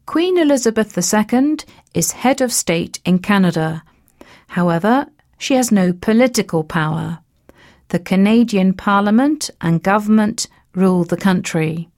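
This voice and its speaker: A woman narrating an educational piece, reading facts in a measured, list-like way. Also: mid-range voice, expressive, a little mellow.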